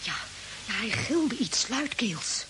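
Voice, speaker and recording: An actress playing an anxious, pleading farm wife, fearful and insistent, in old-fashioned radio drama style. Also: female voice, fairly high pitch, very animated, takes it slowly, heavy background noise. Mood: uneasy, pleading. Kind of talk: 1950s radio drama acting.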